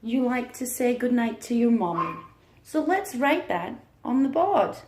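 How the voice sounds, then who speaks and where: The room rings with echo around a woman leading storytime for small children. Her voice sits a little high and she takes her time, very slowly, leaving deliberate pauses. She sounds like an encouraging early childhood teacher reading to a class. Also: very animated, darkish tone, a little hiss or hum. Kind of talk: storytime read-aloud to young children. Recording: roomy, echoing room sound.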